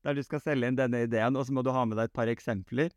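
A man co-hosting a podcast in casual comedic chat, giggly and halting as he eagerly agrees. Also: medium pitch, steady tone, darkish tone, no room sound, studio quality. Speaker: podcast co-host.

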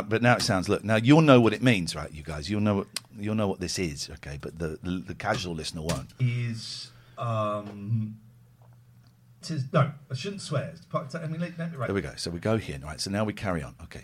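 Male co-host, lively pitch, jokey and scattered, casual banter. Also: fairly quick, slightly rough. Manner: animated, self-interrupting, joking. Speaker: podcast co-host reading stories.

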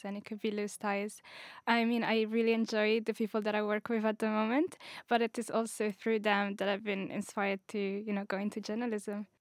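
A woman's voice: medium pitch, no room sound, studio quality. A woman from Morocco, asking a hesitant question with many filler sounds. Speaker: audience member asking a question.

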